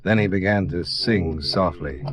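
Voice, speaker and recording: A man playing a tough, wry American investigator, delivering clipped, deadpan hardboiled lines in an old-time radio drama. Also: low voice, unhurried, over a noisy crowd. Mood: narrating, matter-of-fact. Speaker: lead actor and narrator. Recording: dull vintage radio recording.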